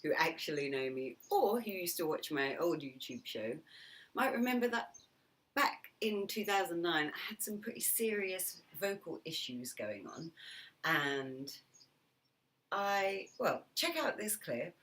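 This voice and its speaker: A woman's voice: lowish voice, lively intonation, a little slow, a bit bright, far from the mic. A middle-aged woman vlogging in a chatty, reflective monologue, laughing often and dropping into whispered asides. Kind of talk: reflective casual monologue to camera.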